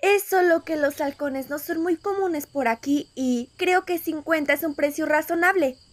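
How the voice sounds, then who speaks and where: A woman voicing a plucky, sassy young female fox hunter in an animated fan dub, expressive, teasing and blunt. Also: high voice, moderate pace, natural voice. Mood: haggling, pleading.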